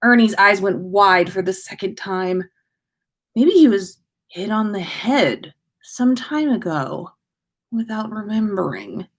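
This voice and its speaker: Slow-paced woman reading a story aloud. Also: medium pitch, animated. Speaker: podcast host reading listener fan fiction. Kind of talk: expressive story reading, livestream.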